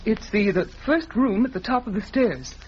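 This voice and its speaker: A woman's voice: fairly high pitch, animated, normal speed, darkish tone, close to an everyday voice. A woman playing a young, genteel widow, reluctant and hesitant, in 1940s radio mystery style. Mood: subdued, hesitant. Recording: dull, noisy old radio recording.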